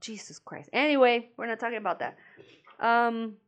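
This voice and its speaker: A woman's voice: fairly high pitch, lively intonation, normal speed. A young woman with a Mexican accent co-hosting a podcast in casual chat, laughing often and swearing freely. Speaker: podcast co-host.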